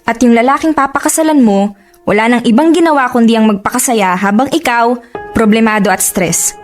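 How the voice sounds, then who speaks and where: Best friend, played by a woman: Filipino accent, higher register, blunt and questioning, protective, natural audio drama read. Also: brisk, soft background music, natural voice. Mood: frank, disapproving. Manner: frank, questioning, conversational.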